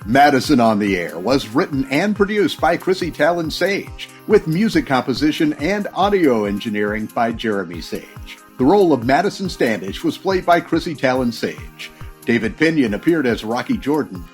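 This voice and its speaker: Animated male announcer over music. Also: medium pitch, normal speed. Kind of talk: scripted credits announcement.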